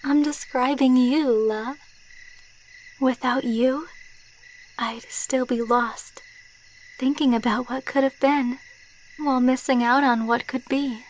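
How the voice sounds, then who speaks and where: ASMR roleplay: actress as female vampire lover, ageless and sentimental. Fairly high, gentle, intimate delivery, taken very slowly. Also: fairly even intonation, slight background noise, low-quality recording. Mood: loving, earnest. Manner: soft, intimate, unhurried, sentimental. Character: tender, wistful immortal female vampire girlfriend.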